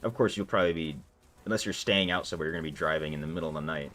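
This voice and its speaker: Deep-voiced man chatting on a livestream. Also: rapid-fire, fairly bright tone. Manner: loose and chatty, lots of "like" and "dude". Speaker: livestream gamer. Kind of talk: casual livestream chat answering viewers. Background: faint background music.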